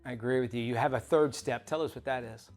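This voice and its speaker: A middle-aged man hosting a TV talk show and interviewing a guest in a chatty, animated way that often breaks into laughter. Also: mid-range voice, fast, faint music behind.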